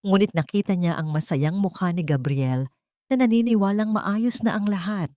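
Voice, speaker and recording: A woman's voice: medium pitch, normal speed, dark tone, no echo, studio quality. A woman reading a dramatic romance story as a scripted narration.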